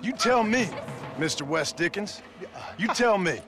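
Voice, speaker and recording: Blunt, sardonic gunslinger. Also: male voice, high voice, expressive, a little slow, over music. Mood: stern, indignant. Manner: terse, dry, sardonic. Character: blunt, weary male Wild West gunslinger, sardonic and stern. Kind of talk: western video game acting.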